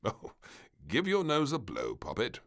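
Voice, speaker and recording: A man's voice: mid-range voice, huge pitch swings, a little slow, a bit bright, pitched above the performer's own voice, full character voice. A man voicing a doting, fussing royal parent in a children's storybook, with a languid, coaxing manner.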